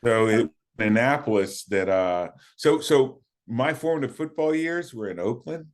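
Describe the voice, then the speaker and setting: A man in his fifties from the United States, recalling his playing days in a relaxed, halting interview manner with many fillers. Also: medium pitch. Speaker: guest, former college running back. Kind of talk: casual interview talk.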